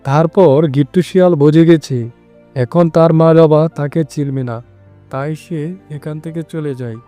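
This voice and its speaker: Smooth male cartoon story narrator. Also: fairly high pitch, moderate pace, dark tone, no echo, soft background music.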